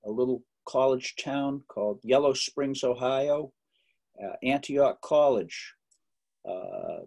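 A man's voice: medium pitch, slow, darkish tone. Middle-aged man, musician guest, chatty storyteller with dry jokes and lots of restarts. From Massachusetts. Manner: chatty, joking, many fillers and restarts. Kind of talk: relaxed interview storytelling.

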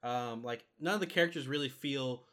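A man with a bright, forward voice at a middling pitch, talking as a podcast co-host in a casual review. He explains things step by step and often pauses mid-thought as he goes.

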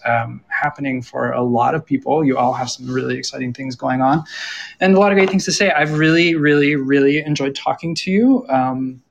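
A man's voice: medium pitch, gravelly, poor audio. An American man moderating a discussion in a casual, conversational way as panel host.

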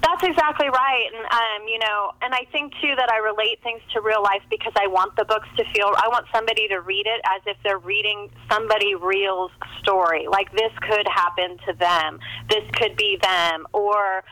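A woman speaks as a guest in a casual interview about writing. Her voice is bright and forward, recorded dry with little room sound. She rambles chattily and slips into quoting imagined lines. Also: medium pitch, steady tone, moderate pace, dry recording, rough recording.

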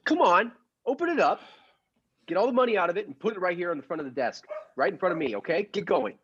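A husky radio-play clerk, acted by a man, meek at heart but prone to gangster swagger. His pitch swings freely, from stammering husband to clipped tough guy. The sound is a little dull. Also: expressive, fast. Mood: menacing swagger. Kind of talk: improv podcast performance of classic radio comedy play.

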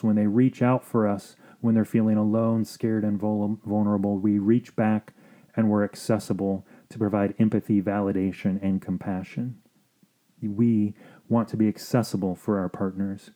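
Very mellow, low-pitched man explaining. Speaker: marriage counselor and podcast host. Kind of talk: informal explanatory podcast monologue.

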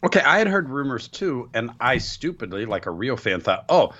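A man's voice: medium pitch, sing-song, moderate pace, a bit bright, highs cut off. A man co-hosting a casual wrestling podcast, joking and sarcastic, his voice slightly worn. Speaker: podcast co-host.

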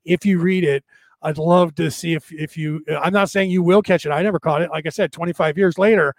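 A man hosting a casual livestream, rambling through spiritual musings with many restarts, his slightly raspy voice tiring. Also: on the high side, steady tone, a little fast, no echo. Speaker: podcast host. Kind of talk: casual livestream monologue answering viewer comments.

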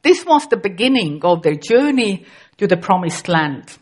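A woman's voice: mid-range voice, big pitch swings, moderate pace, a little mellow, slightly rough. A middle-aged woman from Estonia, a native Estonian speaker with an Estonian accent, preaching a sermon carefully and with occasional restarts.

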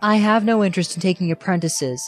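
Cast: arrogant teen boy swordsman, voiced by a woman, low and dry, curt sarcastic delivery, faint music under. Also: quick pace, lightly acted. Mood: sardonic. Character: young arrogant male sword master, sardonic.

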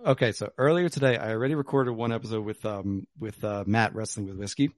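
Low-voiced man chatting with fillers. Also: fairly even intonation, quick pace, no echo, studio quality. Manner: rambling, lots of fillers. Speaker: podcast host. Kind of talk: casual chat over whiskey. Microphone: remote call.

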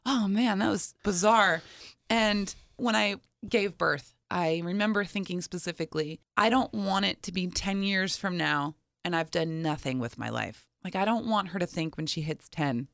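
A woman's voice: on the low side, moderate pace, gravelly, fairly bright tone, no echo, studio quality. A woman guest on a talk show, speaking earnestly and reflectively, often quoting her own thoughts. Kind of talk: candid personal conversation.

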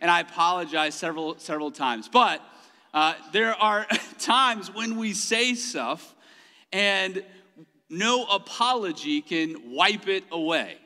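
Male pastor, higher voice, very bright and forceful tone, self-mocking humor, echoing sanctuary. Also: lively intonation, takes it slowly. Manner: humorous self-deprecating storytelling; laughs often mid-sentence. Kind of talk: sermon. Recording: long hall reverb.

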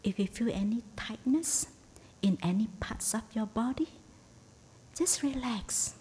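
A woman meditation teacher guiding a session in a hushed, breathy, near-whispered voice, in short phrases with gentle pauses. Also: animated. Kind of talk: guided meditation. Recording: faint rumbly background noise.

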